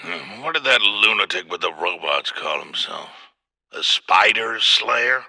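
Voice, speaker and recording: Smug, menacing cartoon tycoon villain. Slow, formal delivery, gravelly edge. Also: male voice, on the low side, animated, bright, forceful tone, no echo, studio quality, heavily acted. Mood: smug, calculating. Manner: pompous, measured, formal speech. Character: wealthy, smug, menacing crime boss posing as civic benefactor. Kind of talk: animated superhero cartoon acting.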